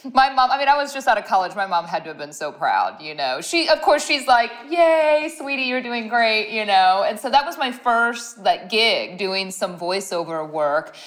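A woman with a raspy, deeper voice and a Midwestern accent with a slight Southern touch, a former radio host telling stories in a casual interview, animated and laughing often. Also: normal speed, few pauses. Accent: Midwestern American with a slight Southern touch. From Ohio, US Midwest. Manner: animated storyteller, laughs often, playful asides. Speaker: guest, brand owner and former radio host.

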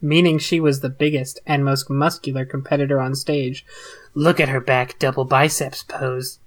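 A woman's voice: rumbling low, flat delivery, moderate pace. A woman co-host reading forum posts aloud in a mock-serious tone during a casual comedic chat.

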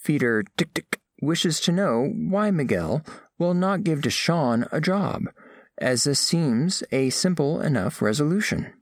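A scholarly alien envoy, played by a man, speaks slowly and with great formality. The pitch rises and falls widely as each precise phrase is set out, in a close, dry recording. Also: big pitch swings, no room sound. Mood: grave, puzzled. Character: formal, scholarly alien diplomat narrating a first contact. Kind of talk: scripted audio fiction narration.

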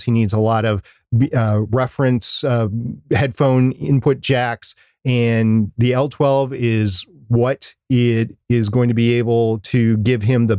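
A man's voice: mid-range voice, darkish tone, no room sound, studio quality. A man co-hosting a podcast, giving practical advice in a casual, conversational way. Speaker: podcast co-host.

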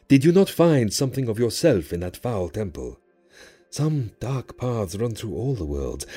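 A man's voice: on the low side, warm tone, slightly rough. A man voicing a proud, wily ancient djinn in a theatrical, taunting and declamatory manner for an audio story.

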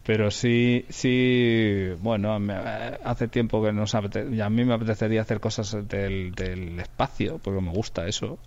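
A man's voice: on the low side, steady tone, a little slow, hardly any pauses, a little mellow, lo-fi sound. A man from Spain with a Spanish accent, a radio drama producer talking colloquially in an interview, repeating himself for emphasis. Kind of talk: casual interview conversation.

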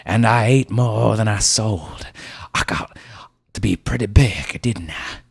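A man's voice: on the low side, takes it slowly, gravelly, husky, full character voice. A man voicing a dying, bitter old man who gurgles and speaks in a harsh, breathless whisper. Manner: breathless, cracking voice.

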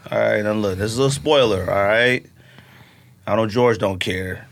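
A man co-hosting a podcast in casual chat, emphatic and animated as he acts out his own reactions. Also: fairly low pitch, normal speed, creaky. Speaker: podcast co-host.

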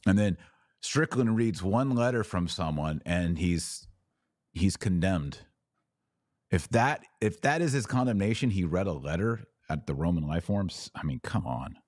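American guy podcast host, really low voice, dry close sound, blunt takes, repeats stuff to make a point. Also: animated, normal speed, darkish tone, no echo. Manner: emphatic, repeats phrases for stress; opinionated, blunt. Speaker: podcast host answering live audience comments. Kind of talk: live commentary, reading and answering comments.

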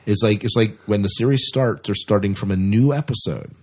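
A man's voice: on the low side, a little fast, slightly rough. A man with an American accent co-hosting a podcast in a casual, chatty style. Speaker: podcast co-host. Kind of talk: casual sci-fi news chat.

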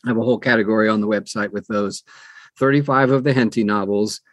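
An older man who narrates audiobooks, talking conversationally in an interview with measured, emphatic phrasing and deliberate pauses. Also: fairly low pitch, steady tone, no echo.

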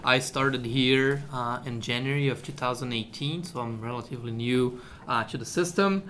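A man's voice: medium pitch, normal speed, a bit bright, a little hiss or hum. A man from Brazil, a university agronomy researcher explaining his work in a radio interview, with many fillers and "okay?" checks.

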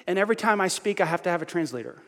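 Man pastor, light high voice, lively sermon, echo of a big church hall. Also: rapid-fire. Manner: lively, self-mocking, restarts often.

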